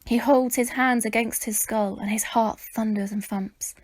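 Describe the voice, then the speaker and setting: A woman reading a children's story aloud as expressive narration, with a dramatic, measured delivery. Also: fairly high pitch, no room sound.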